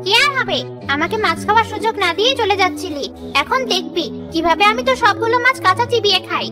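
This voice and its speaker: Someone doing a greedy cartoon river ghost lady, super high voice, gloating n menacing, music behind. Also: lively intonation, fast talker. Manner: gloating, menacing, talks to herself. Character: greedy scheming female river ghost, hungry for fish. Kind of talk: animated children's ghost story acting.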